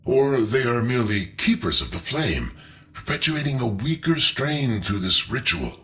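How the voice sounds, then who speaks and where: A man's voice: medium pitch, big pitch swings, moderate pace, fairly bright tone. A man playing a shrewd, eloquent scholarly strategist in a video game, speaking in formal, theatrical phrases. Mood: thoughtful, explanatory. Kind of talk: video game character acting.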